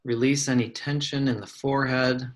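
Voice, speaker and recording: A man leading a guided meditation, giving gentle, measured instructions with long pauses between phrases. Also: medium pitch, fairly even intonation.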